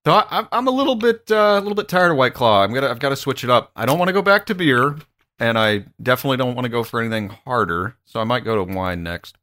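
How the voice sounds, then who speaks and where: Joking guy cohosting a podcast, bright voice, playful banter. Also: medium pitch, lively intonation, fast, dry recording, clean studio sound. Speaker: podcast co-host. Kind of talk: casual banter.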